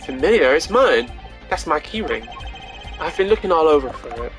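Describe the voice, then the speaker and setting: A woman's voice: low voice, big pitch swings, moderate pace, soft background music. A woman voicing a cool but self-pitying young male prosecutor in a video game, complaining theatrically. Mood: surprised.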